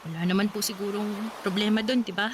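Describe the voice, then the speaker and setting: A woman's voice: medium pitch, fairly even intonation, quick pace, noisy background, lightly acted. A woman with a Filipino accent playing a stubborn, exasperated, heartbroken young woman in a Tagalog radio drama. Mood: resigned, exasperated. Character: heartbroken, stubborn, sassy grown daughter in late twenties.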